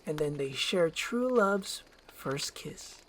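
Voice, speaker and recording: Casting: woman as young princess, demanding and romantic, courtly diction, very animated intonation, storybook comedy. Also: low voice, slow, slight background noise, rough recording. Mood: insistent. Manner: imperious, archaic courtly phrasing.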